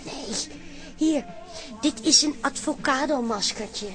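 An actress playing a bubbly, chummy young hairdresser in a radio comedy, familiar and coaxing. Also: female voice, high voice, slow pace. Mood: reassuring. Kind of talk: Dutch radio comedy drama acting.

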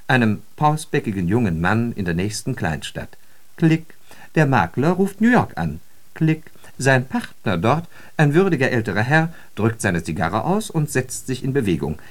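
A man's voice: fairly low pitch, full character voice. A man acting in a radio drama as a worldly, ironic storyteller, speaking with theatrical emphasis. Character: worldly man telling ironic travel parables of New York. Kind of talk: scripted German radio drama monologue.

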